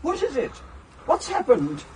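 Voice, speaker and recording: Brisk Englishwoman, lively swooping voice. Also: lowish voice, noisy background. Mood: shaken, urgent. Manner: brisk, no-nonsense. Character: brisk, opinionated, practical Englishwoman on holiday. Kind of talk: radio drama acting.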